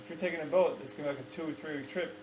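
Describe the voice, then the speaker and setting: A man in his twenties chatting casually, answering quickly and pushing back with questions. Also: fairly high pitch, echoey room, noisy background. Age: 20s. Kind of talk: casual chat.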